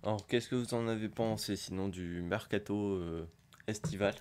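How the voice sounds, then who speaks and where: Man with a cold, low voice. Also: steady tone, moderate pace, darkish tone, a little hiss or hum. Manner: rambling, many hesitations. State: has a cold, hoarse. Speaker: gaming youtuber. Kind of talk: casual pack-opening commentary.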